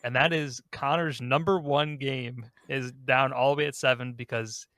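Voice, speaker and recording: A man's voice: medium pitch, steady tone, normal speed, a bit bright, dry recording. Man hosting a casual chat, close dry sound. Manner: conversational, informal. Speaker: host of a ranking podcast. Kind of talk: casual panel discussion.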